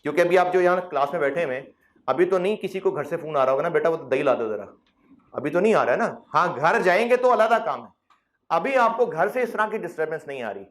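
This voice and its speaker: A man's voice: on the high side, lively intonation, fast, fairly bright tone. A male accounting lecturer, talking to students in a classroom in a chatty, coaxing way. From Pakistan. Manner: conversational, coaxing, teasing with mock quotes.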